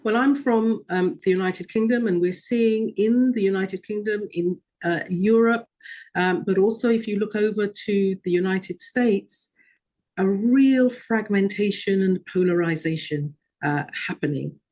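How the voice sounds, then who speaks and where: A woman interviewee speaks in a measured, considered way. She pauses often to choose her words and keeps a steady, mid-pitched voice. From Guyana. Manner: measured, with frequent hesitations. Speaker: interview guest. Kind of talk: broadcast interview answers.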